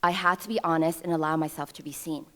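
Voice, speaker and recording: A woman's voice: sits low, fairly even intonation, fast talker, a bit bright. A woman in her thirties from New York City, giving a personal storytelling speech at a ceremony with wry, self-deprecating humor, into a podium microphone. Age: 30s. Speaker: alumna speaker at a ceremony. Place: ceremony stage before an audience.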